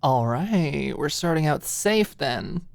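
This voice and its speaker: A woman's voice: low voice, slow, gravelly, no echo, exaggerated voice. A woman voicing a playful, tipsy young woman with a mischievous, over-eager, teasing manner in an audiobook. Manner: excitable, teasing.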